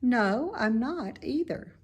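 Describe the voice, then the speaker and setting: Wise storybook spider, female, acted by a woman: slow, deliberate, patient, matter-of-fact tutor. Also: fairly high pitch, expressive, husky, voice pushed up, exaggerated voice. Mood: precise, patient. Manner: measured, precise, instructive.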